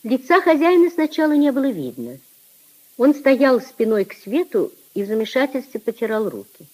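A woman giving deliberate, expressive literary narration in a 1950s radio drama, with a husky, soft tone. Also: high voice.

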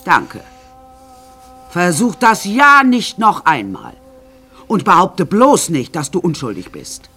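An actress playing an older, sardonic and imperious confined woman who narrates her macabre life in a wry, drawn-out, confiding way in a radio drama. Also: on the low side, very animated, sharp tone, husky, faint music behind, full character voice.

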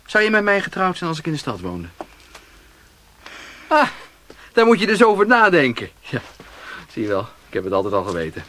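Radio drama casting: dry, teasing husband, animated intonation, bright tone, faint background noise. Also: male voice, a little high, fairly quick, long pauses, full character voice. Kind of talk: naturalistic Dutch radio drama acting.

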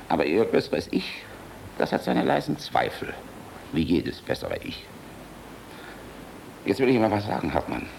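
Hearty general, hugely lively voice. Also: male voice, sits low, gravelly, a little hiss or hum, exaggerated voice. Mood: confiding, teasing. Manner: hearty, commanding, teasing, swinging between bellowing and confiding. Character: boisterous, jovial, cynical Luftwaffe general, fatherly toward young officers. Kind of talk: German radio play acting.

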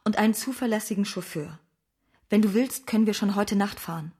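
Actress as husky, insistent loyal woman helper. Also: mid-range voice, brisk, darkish tone, close to an everyday voice. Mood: urgent, reassuring. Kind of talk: German radio drama acting.

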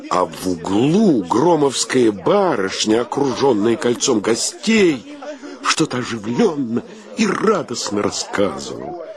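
Slow, expressive male storyteller. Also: mid-range voice, a crowd in the background. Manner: expressive, literary, theatrical. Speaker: narrator. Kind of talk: dramatic scripted storytelling for radio play.

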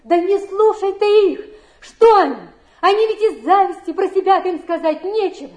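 Stage role: a protective, brusque flophouse woman, very high voice, echoey recording. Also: normal speed, a little mellow. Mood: indignant, protective. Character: protective, blunt woman comforting a friend, snapping at mockers. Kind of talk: theatrical stage acting.

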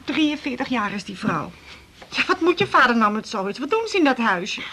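A woman's voice: fairly high pitch, big pitch swings, normal speed, pushed and bright, a little hiss or hum, exaggerated voice. An actress playing a hurt, bitter older wife and mother in a radio drama, plaintive and indignant.

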